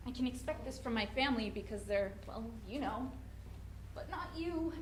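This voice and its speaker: Insecure, lovestruck teen girl played by a woman, stage drama: high, animated, earnest delivery, roomy live sound with faint noise. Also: moderate pace, close to an everyday voice. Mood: hurt, pleading. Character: troubled, insecure teenage girl in love, defiant with her mother. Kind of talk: staged dramatic reading of a play. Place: live presentation in a room at a college. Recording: echoey room with clear background noise.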